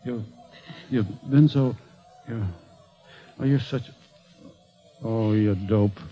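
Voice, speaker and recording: An actor playing a shy, humble ballplayer who speaks haltingly to his wife, in 1940s radio acting style. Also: fairly low pitch, warm tone, soft background music, poor audio. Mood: tender. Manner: halting, bashful.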